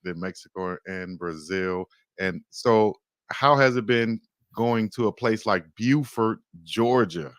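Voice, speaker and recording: A man hosting an interview show, asking brisk, leading questions. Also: fairly low pitch, no room sound. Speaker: show host. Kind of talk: casual interview.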